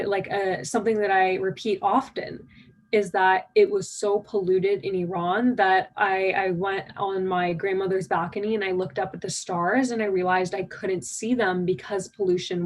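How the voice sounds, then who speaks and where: A woman's voice: medium pitch, flat delivery, normal speed, low-quality recording. A nineteen-year-old woman from the United States giving a conversational conference keynote, eager and full of fillers. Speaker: keynote speaker.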